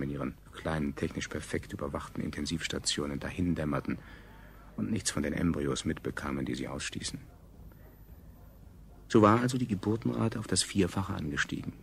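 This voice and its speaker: An actor playing a sober chronicler who narrates a science-fiction radio play in a measured, detached, reflective way. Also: male voice, rumbling low, vocal fry, a little hiss or hum. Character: sober, grave male chronicler of a space colony.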